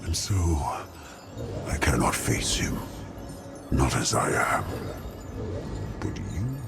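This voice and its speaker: A man playing a haughty, brooding, menacing inhuman ruler in a video game, speaking with slow theatrical disdain and breathy, gravelly edges. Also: very deep voice, wildly swooping pitch, heavily acted. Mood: brooding, commanding. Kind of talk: video game cutscene acting. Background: loud music.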